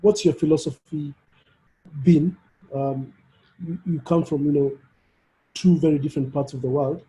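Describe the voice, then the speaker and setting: Guy doing a casual interview, really dark mellow voice, a bit on the high side, halting delivery. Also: steady tone, low-quality recording. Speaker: interviewer, summit organizer.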